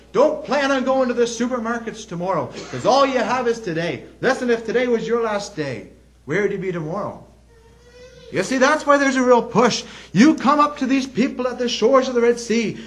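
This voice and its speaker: A man's voice: sits high, a bit bright. A man preaching an evangelistic sermon in an urgent, earnest way, telling stories dramatically and throwing out rhetorical questions. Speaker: gospel preacher. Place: speaking to a gathering in a gospel hall.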